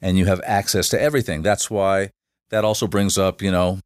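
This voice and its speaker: A man's voice: low voice, steady tone, no echo, studio quality. A man speaking as a podcast guest, explaining at length with frequent restarts and fillers. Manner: halting, many restarts and fillers. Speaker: guest, shamanic facilitator. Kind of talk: casual podcast interview.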